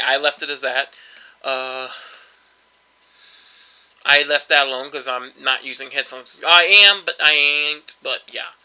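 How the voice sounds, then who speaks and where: A man's voice: on the high side, bright, forceful tone, smooth, low-quality recording. A man casually commentating a game he is playing, rambling and offhand with lots of fillers. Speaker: let's play host.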